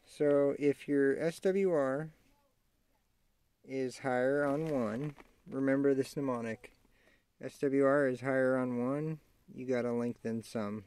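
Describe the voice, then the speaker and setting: A man talking through a hands-on tutorial in a casual, halting way, thinking aloud as he works. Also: mid-range voice, steady tone, a little mellow, no echo. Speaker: amateur how-to demonstrator. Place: inside a parked vehicle. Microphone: handheld camera mic. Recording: clearly noisy amateur camera audio, clicks.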